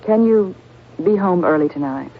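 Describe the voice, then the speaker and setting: A woman's voice: fairly low pitch, lively intonation, normal speed. An actress playing a worried, insistent wife in a radio drama, pleading as she speaks. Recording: muffled, noisy old radio broadcast.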